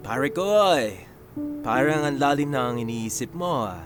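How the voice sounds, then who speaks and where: An actor playing a young male CEO disguised as a janitor, who talks in a joking, lively way, in a radio drama. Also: mid-range voice, unhurried, loud music behind the voice, natural voice.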